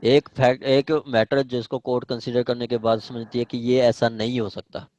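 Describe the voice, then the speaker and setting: Male Pakistani lecturer, mid-pitched, dry sound; informal, repetitive, example-led explaining with frequent check-ins. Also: level tone, normal speed, darkish tone, no room sound, lo-fi sound. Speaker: law teacher. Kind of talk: informal law lecture with questions.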